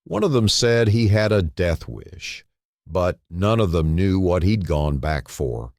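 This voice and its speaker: A man narrating a scripted dramatic story in a solemn manner, in short phrases with pauses. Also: low voice, expressive, a little mellow, no echo, studio quality.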